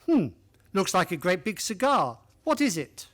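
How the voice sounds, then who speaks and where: A man playing a loyal, puzzled gentleman companion to a detective in a radio play. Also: high-pitched, sing-song, normal speed, exaggerated voice. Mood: curious.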